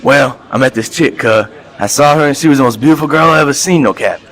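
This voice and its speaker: A man's voice: mid-range voice, fast, fairly bright tone, close to an everyday voice. A man acting a reverend with a hoodlum past in an amateur radio play, talking in street slang and folksy storytelling. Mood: boastful, chummy.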